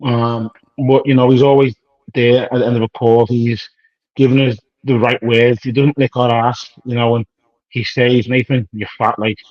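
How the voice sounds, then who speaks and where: A man's voice: mid-range voice, little pitch movement, normal speed, dry recording. Male bodybuilder, 30s, loose slangy rambler who re-enacts dialogue; dull, dry capture. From United Kingdom. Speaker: professional bodybuilder guest. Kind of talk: casual interview chat.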